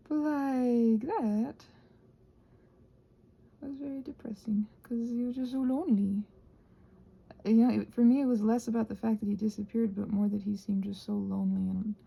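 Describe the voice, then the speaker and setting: Soft husky woman with a very creaky voice. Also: on the high side, fairly even intonation, unhurried, pauses often, lo-fi sound. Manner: rambling, thinking aloud, trailing off mid-thought. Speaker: video host and ASMR creator. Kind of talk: casual rambling craft chat.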